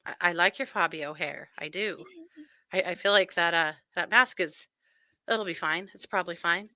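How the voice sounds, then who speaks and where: A woman's voice: fairly low pitch, moderate pace, sharp tone, no echo. A woman hosting a show with casual, wry and mocking true crime commentary. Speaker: host.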